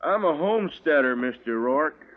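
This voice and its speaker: A man acts a plain-spoken homesteader who will not back down. He is folksy and deliberate, in a somewhat high voice. The old radio western recording sounds dull. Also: animated, natural voice. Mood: defiant. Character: stubborn, plain-spoken homesteader standing up to ranchers. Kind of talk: 1950s radio western acting. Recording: muffled 1950s radio recording.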